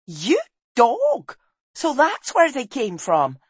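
A woman voicing a spirited, sharp-tongued clanswoman wife with a feisty, scolding manner in an audiobook. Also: on the high side, very animated, moderate pace, slightly rough, dry recording, voice pushed up.

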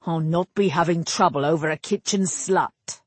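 Woman doing weary gruff captain, swears freely, low-key. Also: moderate pace, no echo. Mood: annoyed. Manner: blunt, scolding, swears. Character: annoyed gruff male captain of the guard.

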